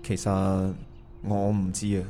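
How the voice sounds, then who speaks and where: A low, subdued male voice over background music: a man acting a hesitant husband full of regret in a Cantonese radio play. He speaks conversationally with a Cantonese accent. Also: completely flat delivery, takes it slowly, warm tone. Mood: hesitant, regretful. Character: regretful, hesitant married man meeting his first love. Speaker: voice actor playing the male lead. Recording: music under the dialogue.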